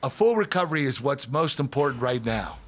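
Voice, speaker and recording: A man's voice: mid-range voice, big pitch swings, fairly quick, a bit bright. A man playing a considerate, businesslike wrestling show manager in a video game cutscene. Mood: sympathetic, serious.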